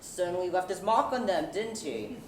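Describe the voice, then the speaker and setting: A woman's voice: fairly low pitch, lively intonation, moderate pace, lightly acted. A young woman on stage playing a dry, impatient police inspector in a murder farce, pompous and exasperated. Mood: brisk. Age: young adult. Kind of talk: comedic student stage acting. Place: theatre stage. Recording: very echoey stage, distant, noisy.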